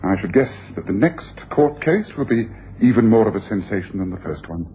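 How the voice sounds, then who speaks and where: A man's voice: on the low side, animated, brisk, natural voice. A man acts a self-assured detective with a theatrical, domineering delivery. His voice swings widely as he makes his points, in a dull, noisy old radio broadcast. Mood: triumphant, incisive. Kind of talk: 1980s radio drama acting.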